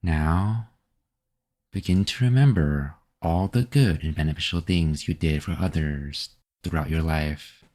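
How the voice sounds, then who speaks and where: A man leading a scripted guided meditation in a calm, gentle, measured manner with pauses between phrases. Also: rumbling low.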